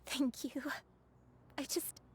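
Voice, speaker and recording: A woman playing a gentle, devoted grandmother who is secretly a crane spirit in a video game, speaking tenderly and humbly. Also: slow, a little hiss or hum, close to an everyday voice. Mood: humble, earnest.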